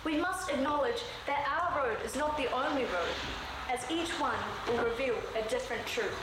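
A young woman from Sri Lanka raised in New Zealand, delivering a prepared, earnest speech at a measured pace. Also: high-pitched, expressive. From born in Sri Lanka, raised in New Zealand. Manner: measured, earnest delivery with slowed emphatic phrases. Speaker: student speaker. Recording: very echoey room with background noise.

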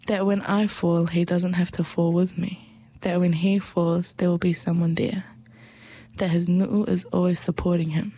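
A young woman with a New Zealand accent, reciting spoken word poetry and reflecting on it in a soft, slow, measured way with hushed phrases. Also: fairly low pitch, dry recording.

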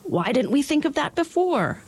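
A woman's voice: fairly low pitch, normal speed, a little mellow, husky. A woman voicing a grumbling, fretful male scarecrow in a storybook. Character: grumbling male scarecrow who wants brains.

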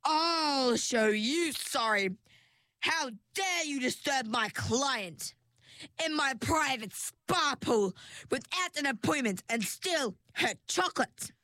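A smug mermaid queen of a villain, all silk and condescension, pitched a little higher than plain speech as a woman puts her on. She drawls her taunts slowly and sweetly. The storybook recording is close and dry. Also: lively intonation, fairly bright tone, dry recording. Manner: silky, pleasant, condescending. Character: scheming elegant female mermaid villain.

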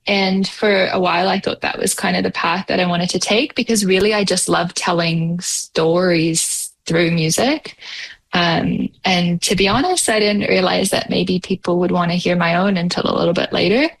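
A woman's voice: lowish voice, gravelly, husky, rough recording. A young woman musician from New Zealand with a mixed New Zealand and Canadian accent, chatting casually in an interview with lots of fillers and laughs. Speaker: musician guest.

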